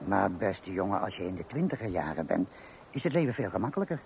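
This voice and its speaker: Lecturing fifty-year-old colleague, creaky voice. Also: male voice, low voice, sing-song, quick pace, darkish tone, heavily acted. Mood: knowing. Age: 50s. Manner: emphatic, patronising, explanatory. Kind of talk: radio play acting. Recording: noisy old radio play recording.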